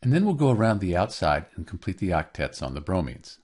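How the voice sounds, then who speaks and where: A man narrating a scripted tutorial as a teacher, explaining clearly step by step. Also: low voice, big pitch swings, a little fast, a little mellow. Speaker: chemistry teacher, tutorial host.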